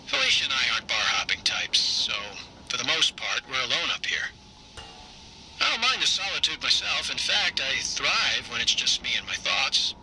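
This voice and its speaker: A man acting a solitary, introspective husband in a game, recording a private diary. His mid-pitched voice is measured and confiding, with clear noise behind it. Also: sing-song, natural voice. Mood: pensive. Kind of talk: video game audio diary monologue.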